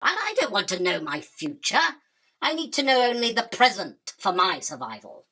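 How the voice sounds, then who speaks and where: Spider queen for radio play, female actor: crisp-edged bright tone, theatrical, self-important and scornful, always hungry. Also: mid-range voice, big pitch swings, normal speed, full character voice. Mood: dismissive. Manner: imperious, dismissive, self-justifying. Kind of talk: theatrical radio drama acting.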